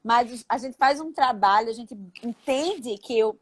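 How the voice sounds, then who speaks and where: Brazilian woman co-host, higher pitch, live-chat educator. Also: a little slow, slightly rough. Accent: Brazilian Portuguese. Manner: affirming, checks in with the co-host. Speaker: school educator. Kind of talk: live-stream conversation.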